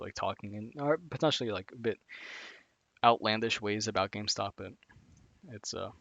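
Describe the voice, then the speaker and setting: A male streamer with a fairly low voice talks loosely and casually, piling on fillers and circling back on himself. The sound is close and completely dry, with no room around it. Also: vocal fry, a bit bright, dry recording. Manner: rambling, heavy on "like" and "again", frequent restarts. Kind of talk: casual live-stream commentary on finance resources.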